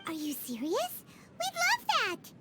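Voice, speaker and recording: A woman's voice: very high voice, huge pitch swings, takes it slowly, heavy background noise, full character voice. An actress voicing a tiny floating female fairy companion, bubbly, nosy and teasing, in a game cutscene. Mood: excited. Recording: game score under the voice.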